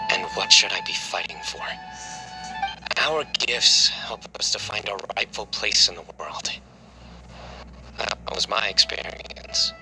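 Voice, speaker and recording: A calm, gentle game hero. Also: male voice, on the low side, poor audio. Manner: calm, soft-spoken, reflective. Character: gentle young man, loyal reflective friend. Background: game music.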